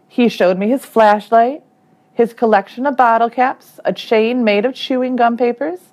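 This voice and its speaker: A woman teacher reading a children's story aloud expressively, with dramatic emphasis and deliberate phrasing. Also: fairly high pitch, a little mellow. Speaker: third grade teacher.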